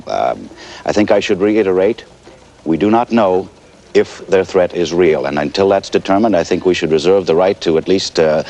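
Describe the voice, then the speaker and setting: A male news anchor in a TV drama. His voice is deep, and he speaks formally and carefully, with many hesitations and measured disapproval. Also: a little mellow. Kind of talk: naturalistic newscast drama acting.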